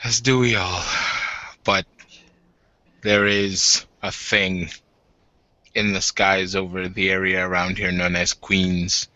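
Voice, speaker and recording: A deep, bright-edged warrior leader played by a man in a tabletop game. He speaks formally and deliberately, stopping to restate himself. The close, dry sound is slightly dulled. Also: little pitch movement, no room sound, dull audio, heavily acted. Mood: grave. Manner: halting, measured, formal phrasing. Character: gruff werewolf warden, formal, deliberate, battle-minded leader.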